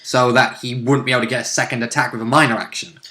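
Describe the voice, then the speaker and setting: A man's voice: mid-range voice, level tone, fast, sharp tone. A man playing in a tabletop game, casually explaining the rules in long, detailed runs. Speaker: player. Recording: echoey room.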